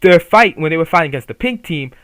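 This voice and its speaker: A man's voice: on the high side, expressive, fast talker, a bit bright, no room sound. A man giving casual, opinionated commentary on a manga, swearing freely and rambling with restarts. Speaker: YouTuber reviewing a manga.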